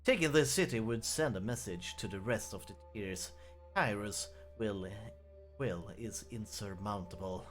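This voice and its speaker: A man's voice: fairly low pitch, a little slow, music in the background. A man hosting a let's play, reading the game's text aloud and often restarting words as he stumbles.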